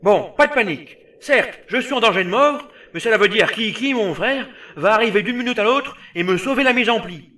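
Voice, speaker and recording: A man's voice: high voice, lively intonation, a little fast, hardly any pauses, pushed and bright. A man voicing a whiny, effeminate young hero knight in a comic anime parody dub, fussy and melodramatic. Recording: slightly distant, faint music behind.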